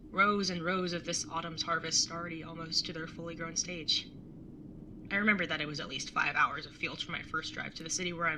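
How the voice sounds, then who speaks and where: A woman's voice: deep voice, noisy background, lightly acted. A young woman playing a wry, exasperated older sibling who narrates a road trip in an audio drama, with a dry, sarcastic way of talking. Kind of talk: audio drama acting and first-person narration.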